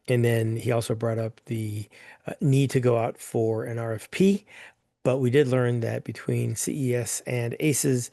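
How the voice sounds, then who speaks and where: A man chairs a board meeting in a hoarse, gravelly voice, with plenty of "um"s and restarts as he works through the items. The recording is dry and close, with no room echo. His pitch sits in the middle range for a man. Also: warm tone. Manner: plain, rambling, with many fillers. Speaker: governing council chair.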